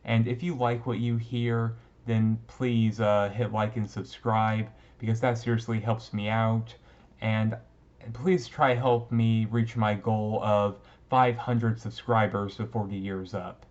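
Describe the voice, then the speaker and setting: Brief: male audio creator, informal and earnest channel sign-off, lowish pitch, somewhat dull lo-fi sound. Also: flat delivery, slow pace, a little mellow. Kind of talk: casual outro to listeners.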